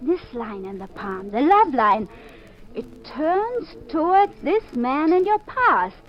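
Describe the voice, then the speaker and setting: An actress playing a coaxing, mysterious fortune-teller in florid 1950s radio drama style, on a muffled old recording. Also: female voice, high voice, very animated, full character voice. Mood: mysterious, dramatic. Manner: florid, drawn-out salesmanship, refers to herself by name.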